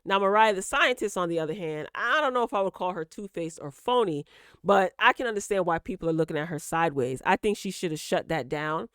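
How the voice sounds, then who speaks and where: Clear-voiced woman giving opinionated commentary. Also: lowish voice, expressive, a little fast, fairly bright tone, no room sound, studio quality. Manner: emphatic, direct address to listeners with repetition for emphasis. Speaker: host of a celebrity gossip commentary channel.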